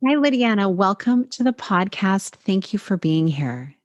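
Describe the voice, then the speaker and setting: A woman's voice: mid-range voice, sing-song, measured pace, no room sound, lo-fi sound. A woman hosting a podcast and working as a health coach, reading a polished, upbeat intro before the interview. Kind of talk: scripted intro then interview.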